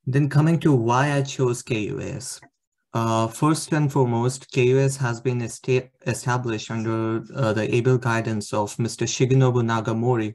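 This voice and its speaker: A man's voice: mid-range voice, a little mellow. Hesitant young man presenting slides. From India. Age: young adult. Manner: hesitant, many fillers. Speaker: student presenter. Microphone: webcam on video call.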